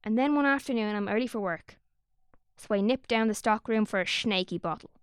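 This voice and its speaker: A woman's voice: medium pitch, brisk, smooth, no room sound, clean studio sound. A young woman narrating an audio drama in a wry, conspiratorial, sweary way.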